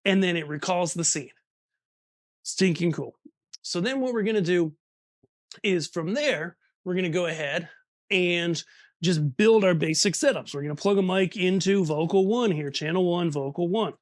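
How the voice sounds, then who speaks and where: A man's voice: sits high, moderate pace, no room sound, clean studio sound. A man giving a casual, hands-on tutorial, chatty and informal, talking himself through each step as he goes. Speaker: presenter of audio gear tutorial. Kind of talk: casual hands-on instructional demo.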